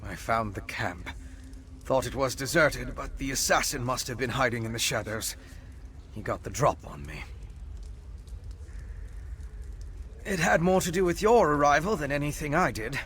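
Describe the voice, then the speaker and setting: Faint game ambience sits behind a mid-pitched male voice. It is a refined vampire scholar , acted by a man for a video game. He speaks in measured, articulate phrases with a dry, bookish wit and an investigator's curiosity. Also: expressive, fairly bright tone, a little hiss or hum, natural voice. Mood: strained, calm. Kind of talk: video game character dialogue.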